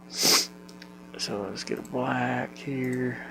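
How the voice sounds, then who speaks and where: A man talks to himself casually while working at a computer, in short muttered fragments. His delivery is slow, with a lot of gravelly fry in a mid-pitched voice. The recording has clear background noise, with hum and clicking. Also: fairly even intonation. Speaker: game developer narrating own work.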